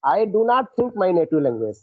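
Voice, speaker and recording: High, halting man on a tinny line. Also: big pitch swings, no echo. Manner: hesitant, halting, with many fillers and restarts. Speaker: English learner. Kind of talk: conversation practice call. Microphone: online call. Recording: tinny phone-like dry line.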